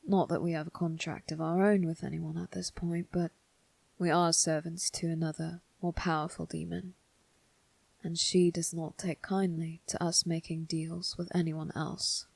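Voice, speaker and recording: A sly demon servant, played by a woman, speaks with a gravelly, husky voice in a very dry, close recording. The delivery is teasing and menacing, slangy and informal, made for an ASMR roleplay. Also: low voice, level tone, normal speed, darkish tone, no room sound, exaggerated voice. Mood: wary, teasing.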